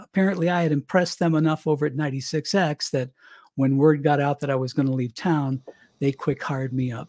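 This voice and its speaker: Husky-voiced older man spinning radio tales. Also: mid-range voice, no echo. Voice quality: young-sounding voice. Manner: chatty, animated storyteller. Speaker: guest, former radio DJ. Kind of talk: casual storytelling interview.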